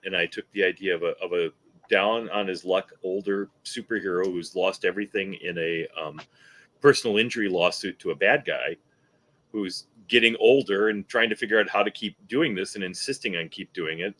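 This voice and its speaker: A man's voice: deep voice, moderate pace, a bit bright. A middle-aged man talking casually as a guest filmmaker on a panel.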